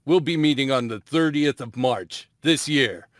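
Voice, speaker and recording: A man's voice: medium pitch, unhurried, a bit bright, no room sound, clean studio sound. A man reading dramatic script lines aloud at an amateur table read.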